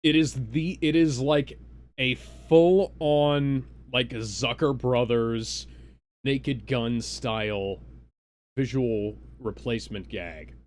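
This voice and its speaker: A man doing a comedic read-aloud on a livestream, animated, with casual, halting asides. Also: mid-range voice. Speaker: co-reader on a livestream. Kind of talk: comedic dramatic reading with casual banter.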